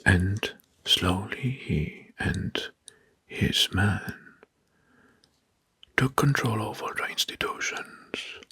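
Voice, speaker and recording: A man narrating history as ASMR in a breathy, hushed whisper, slowly and deliberately, softly repeating words. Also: fairly low pitch.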